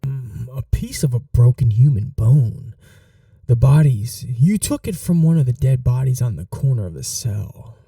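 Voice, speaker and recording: A man's voice: mid-range voice, animated, gravelly, dry recording, clean studio sound, recorded from a distance. Man whispering as an obsessive ancient vampire. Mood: shocked, pleading. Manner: soft whispering, slow intimate delivery. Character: obsessive 500-year-old male vampire, possessive and doting. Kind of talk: ASMR audio roleplay.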